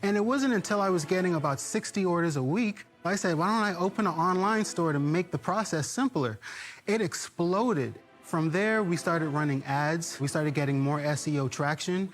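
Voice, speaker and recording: A young man from the Bahamas, an entrepreneur delivering an upbeat, emphatic sales pitch to investors. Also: moderate pace, soft background music.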